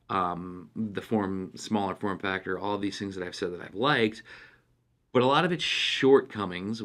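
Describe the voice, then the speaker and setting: A man's voice: low voice, a bit bright. A man talking casually through a product review in a blunt, opinionated way. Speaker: radio gear reviewer.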